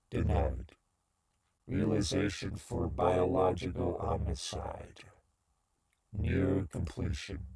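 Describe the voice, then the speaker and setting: A man playing a vengeful, misanthropic scientist turned half-machine, delivering a deliberate, menacing log in an audio drama. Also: deep voice, creaky, exaggerated voice, poor audio. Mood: cold, mechanical.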